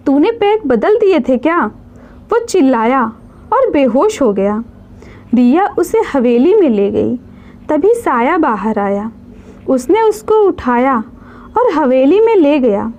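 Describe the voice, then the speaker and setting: A woman's voice: sits high, very animated, slow pace, darkish tone. A woman narrating a scripted dramatic story as a storyteller.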